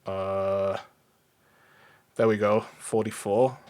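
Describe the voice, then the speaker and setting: A man with a fairly low voice and a German accent streams a coding session. He talks in a casual, easygoing way, poking fun at himself as he explains what he is doing. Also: level tone, very slow, a little mellow, smooth. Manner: easygoing, self-deprecating, chuckles often. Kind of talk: casual livestream chat while programming. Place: indoors at a computer desk.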